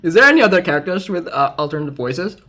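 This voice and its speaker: A casual game streamer with a medium-pitched voice. The delivery is informal and amused, like relaxed reaction chat on a livestream. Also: fast talker.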